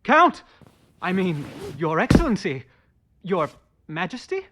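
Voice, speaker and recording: An actor playing a boastful, naive young French viscount in an audio drama, theatrical and full of exclamations. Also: male voice, high-pitched, sing-song, takes it slowly, background noise, full character voice. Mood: excited. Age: young adult.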